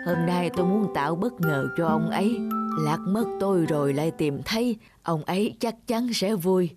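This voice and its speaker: An actress playing a gentle, devoted elderly wife in a cartoon, speaking earnestly and tenderly. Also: female voice, lowish voice, expressive, normal speed, loud music behind the voice, close to an everyday voice. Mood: earnest, wistful. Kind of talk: cartoon dub acting.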